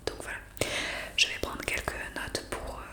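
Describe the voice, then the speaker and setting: A woman whispering an improvised ASMR roleplay as a friendly zombie guide, breathy and gentle, explaining hesitantly close to the microphone. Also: moderate pace. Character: friendly young woman zombie welcoming a newcomer. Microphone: close studio condenser microphone.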